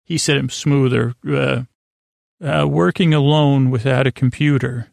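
A man with a creaky voice, rambling through a bedtime podcast monologue in a halting, stammering way, full of false starts. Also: mid-range voice, steady tone, darkish tone, no room sound, studio quality. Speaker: podcast host.

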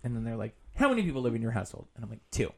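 A man's voice: medium pitch, rapid-fire, gravelly. A man in his thirties chatting casually as a podcast co-host, telling stories in an emphatic, comedic and sweary way. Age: 30s. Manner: animated, swearing, emphatic storytelling.

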